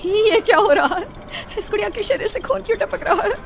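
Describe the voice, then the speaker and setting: A woman's voice: very high voice, expressive, a little fast, creaky, noisy background, heavily acted. A woman playing a guilt-ridden, desperate mother in a cartoon story, pleading dramatically. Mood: frightened, determined. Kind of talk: Hindi cartoon story acting.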